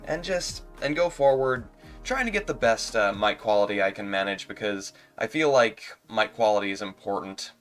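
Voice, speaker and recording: A man with a stuffy nose talking casually on a livestream as a streamer, halting and repeating his words as he goes. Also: fairly low pitch, a bit bright.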